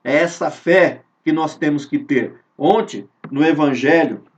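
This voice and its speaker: A Brazilian man leading a spiritist study, earnest and repetitive, asking rhetorical questions and drawing out key words. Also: fairly high pitch. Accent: Brazilian Portuguese. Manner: earnest, repeats phrases, rhetorical questions, drawn-out emphasis. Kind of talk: informal devotional teaching. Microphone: video call. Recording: dull lo-fi sound from a distance.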